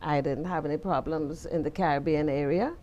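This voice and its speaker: A woman's voice: low voice, steady tone, fairly quick, darkish tone. An older woman from the Bahamas with a Bahamian accent, a guest in an interview giving firm, preacherly advice. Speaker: interview guest, retired teacher and pastor's wife. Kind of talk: conversational interview.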